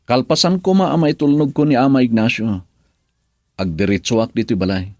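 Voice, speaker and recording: A man's voice: mid-range voice, fairly quick, a little mellow, no room sound, studio quality, natural voice. An actor playing an injured husband earnestly pleading with his mother, in Ilocano radio drama style with an Ilocano accent. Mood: earnest explaining.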